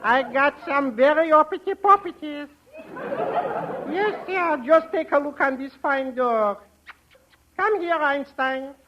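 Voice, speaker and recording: A wry, genial comic eccentric with a Yiddish accent and a high, leisurely singsong. It is 1940s radio comedy, muffled and clearly noisy. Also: male voice, slow pace, a loud crowd.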